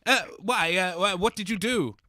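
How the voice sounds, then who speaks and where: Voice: medium pitch, normal speed, pushed and bright, no room sound, studio quality, natural voice. A performer playing a touchy, stammering radio co-host who blurts things out in a comedy audio drama. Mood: indignant, flustered. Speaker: show host.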